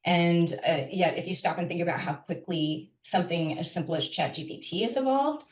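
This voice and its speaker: A woman's voice: fairly low pitch, lively intonation, brisk. A woman co-hosting a webinar, who takes up questions thoughtfully and adds her own thoughts. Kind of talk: conversational remarks on a call. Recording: distant, roomy, dull call audio.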